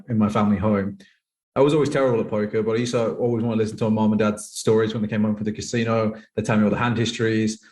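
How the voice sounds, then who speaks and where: Fast-talking British man with a low voice. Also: darkish tone. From United Kingdom. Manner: rambling, peppered with "like". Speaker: podcast guest, professional poker player. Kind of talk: casual interview chat, personal storytelling.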